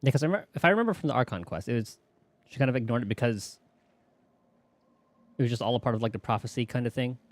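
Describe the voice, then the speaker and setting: A man's voice: medium pitch, expressive, quick pace, long pauses. A man giving casual, rambling playthrough commentary as a video game streamer, thinking aloud. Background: faint game music.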